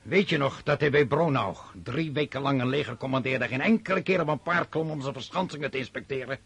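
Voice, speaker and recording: A man acting an imperious, brooding French emperor on campaign in a radio play, with a commanding, declamatory manner and a husky voice. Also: mid-range voice, fast talker, a bit bright. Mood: irritable, brooding.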